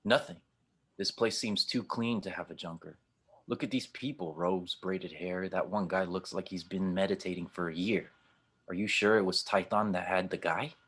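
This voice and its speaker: Man playing a husky, low, sardonic space rogue. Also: steady tone, normal speed, a bit bright, full character voice. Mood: dry, sarcastic. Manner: sardonic, blunt, suspicious. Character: skeptical, wisecracking, brash spacefaring gunslinger. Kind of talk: fan audio drama table read.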